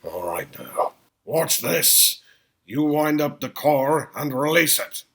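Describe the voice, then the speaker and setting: A man voicing an excitable, eccentric old scientist in a comic table read, raspy and theatrical, swinging from hushed to booming. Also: slow, exaggerated voice. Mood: excited, urgent.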